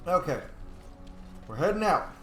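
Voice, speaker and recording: A man giving casual let's-play commentary, excitable, swearing freely and yelling during fights. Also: on the high side, a little slow. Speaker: gamer playing a roleplay series. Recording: echoey room with game music behind. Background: game music and combat sounds.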